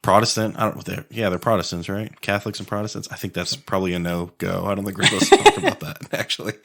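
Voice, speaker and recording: A man's voice: deep voice, big pitch swings, quick pace, gravelly, a bit bright. An American man co-hosting a podcast, riffing in casual, profane, jokey slang. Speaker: podcast co-host. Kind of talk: casual comedic banter.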